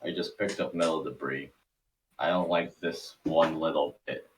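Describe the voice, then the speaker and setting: A serious, cautious old grandfather from a cartoon, as a man reads him. His voice is low and set back from the mic in a roomy space, with faint noise. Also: fairly even intonation, normal speed, recorded from a distance. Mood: concerned, firm. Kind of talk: amateur cartoon table read.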